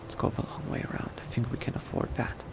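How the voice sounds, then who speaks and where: A man playing a cautious, brooding newly turned vampire, thinking aloud in hushed, halting phrases in a video game roleplay. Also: close to an everyday voice. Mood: tense, urgent. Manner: hushed, halting self-talk with sighs and pauses. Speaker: roleplay gamer. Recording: noticeable background noise.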